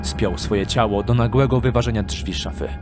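A man's voice: on the low side, fairly even intonation, normal speed, over loud music. A man narrating a scripted dramatic story for an audio drama.

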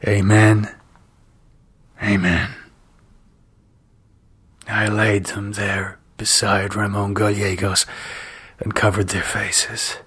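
A man's voice: fairly low pitch, completely flat delivery, slow pace, sharp tone, breathy edge. A man narrating a scripted story as a dramatic audiobook reader.

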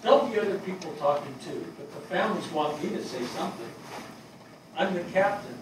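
An older man playing a grieving, plain-spoken fire captain in a staged play reading, halting and weary. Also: on the high side, animated, close to an everyday voice. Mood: troubled, reluctant. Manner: halting, hesitant delivery. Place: small theatre stage before a seated audience. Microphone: distant camera microphone. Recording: very echoey hall, far microphone, noisy.

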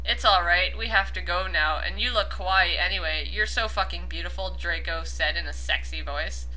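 Bright-voiced, giggly young woman reading aloud. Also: on the low side, normal speed, rough recording. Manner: giggly, swears freely. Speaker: host of a comedic commentary reading. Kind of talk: casual dramatic reading with mocking commentary.

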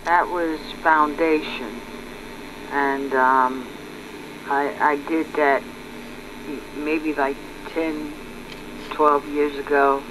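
A man talking casually and unscripted, halting and rambling, often repeating his words, as a hobbyist narrating his home video. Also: a little high, level tone. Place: indoors at home. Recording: clear background noise.

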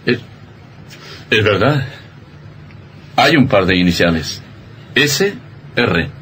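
A man's voice: fairly low pitch, expressive, slow, fairly bright tone, a little hiss or hum. A man playing a worried, protective doctor husband in a theatrical, earnest radio drama style. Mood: puzzled.

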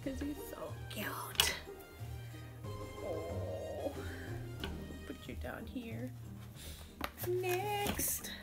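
A woman's voice: high-pitched, wildly swooping pitch, drawn-out words, pauses often, loud music behind the voice. A young woman unboxing toys in a living room, gushing and cooing over them, her voice strained as she is losing it. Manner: gushing, coos over toys, whispers at times. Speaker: unboxing vlogger. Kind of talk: casual unboxing chat.

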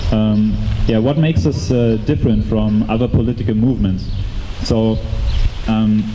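A man in his twenties with a German accent, giving an unscripted talk outdoors into a handheld mic, halting with many fillers and restarts. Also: medium pitch. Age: 20s. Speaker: speaker at a rally. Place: outdoors in a courtyard by a brick building. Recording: open-air PA reverb, faint noise.

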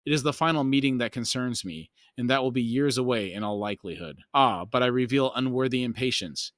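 A man voicing an ancient, cryptic elder god in a fantasy audiobook, measured and grave. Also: medium pitch, fairly bright tone, no room sound, studio quality, natural voice. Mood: solemn.

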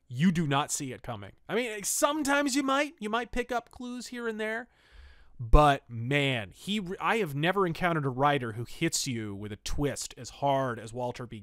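A man's voice: on the high side, fairly bright tone, no echo, studio quality. A man hosting a review podcast, talking casually and enthusiastically with emphatic, colloquial storytelling. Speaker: host of a pulp fiction review podcast.

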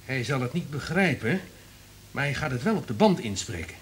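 An actor playing a wary, calculating subordinate in a radio play, deferential but insistent. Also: male voice, mid-range voice, very animated, normal speed, slight background noise, close to an everyday voice.

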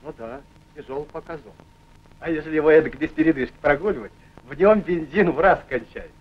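A man's voice: a little high, brisk, natural voice. An actor playing a plain-spoken Soviet scout soldier telling his general how the prisoner was caught, in folksy 1940s film acting. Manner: folksy storytelling. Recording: dull old film soundtrack.

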